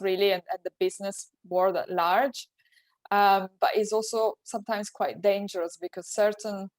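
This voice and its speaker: Italian-accented woman, flat advisory tone. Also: medium pitch, dry recording. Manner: practical and advisory, restarts phrases often. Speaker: webinar panelist, tax advisor. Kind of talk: webinar panel answer.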